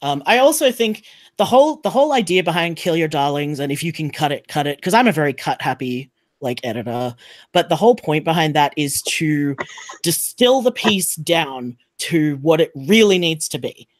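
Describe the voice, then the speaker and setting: A man's voice: on the high side, expressive, moderate pace, no room sound. A man with an Australian accent talking casually and emphatically as a panelist on a podcast.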